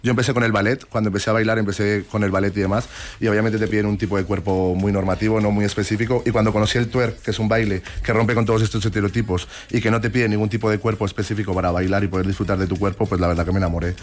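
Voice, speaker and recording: Rapid-talking man, casual interview guest. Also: lowish voice, little pitch movement, slight background noise. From Colombia. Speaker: interview guest, twerk dance teacher and academy owner.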